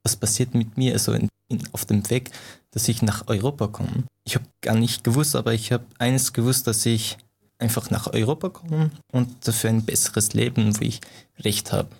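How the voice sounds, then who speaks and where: Gravelly young man. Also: medium pitch, a little mellow. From Iran. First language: Farsi. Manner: halting, searching for words, frequent restarts. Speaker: interviewee. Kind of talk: casual interview answers.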